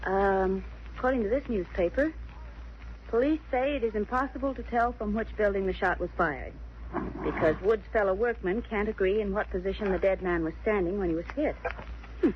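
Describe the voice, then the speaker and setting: An actress playing a detective's devoted, helpful sweetheart with an American accent, attentive and earnest in a 1940s radio drama. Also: female voice, mid-range voice, fairly quick, lightly acted. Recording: muffled old radio recording, faint hiss.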